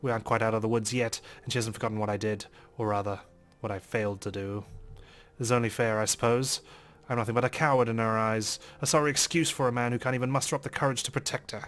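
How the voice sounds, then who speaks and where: A man's voice: medium pitch, steady tone, fast talker, a bit bright. A man reading a visual novel aloud as a let's play host, in dramatic, theatrical first-person narration.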